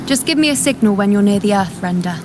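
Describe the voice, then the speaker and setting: A woman's voice: medium pitch, lively intonation, fast, very noisy, natural voice. A woman playing a gifted young priestess in a video game, self-assured, teasing and theatrical, proud but caring. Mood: confident. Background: loud game music.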